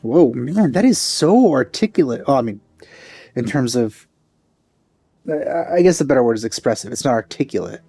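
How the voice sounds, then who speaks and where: A man's voice: medium pitch, lively intonation, normal speed, creaky, dark tone, husky, faint music behind. A man giving casual let's play commentary in a rambling, hesitant way, often correcting himself, close to the microphone.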